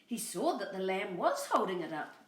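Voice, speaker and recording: A woman's voice: mid-range voice, sing-song, moderate pace, echoey room, far from the mic. A woman, hosting a story time and reading a storybook expressively to children.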